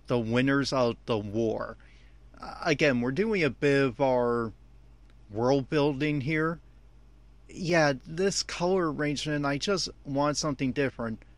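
A man's voice: mid-range voice, measured pace, no echo. A man giving off-the-cuff, opinionated audio commentary on an anime episode, speaking emphatically.

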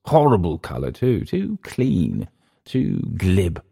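A man voicing a bitter, dissolute Englishman with an English accent, sneering and halting by turns. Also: low voice, huge pitch swings, creaky, mellow, slightly rough, full character voice. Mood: mocking.

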